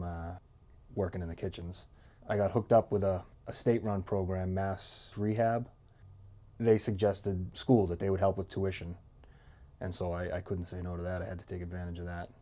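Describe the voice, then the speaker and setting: A man's voice: sits low, warm tone. A man, a former chef now in college, speaking in a plain, thoughtful way.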